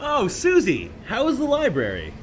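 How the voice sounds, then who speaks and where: A man's voice: extremely high pitch, wildly swooping pitch, moderate pace, slight background noise, heavily acted. A man playing a jovial, generous academic in an audio drama, gushing and theatrical in manner. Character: jovial, effusive, generous male academic doctor.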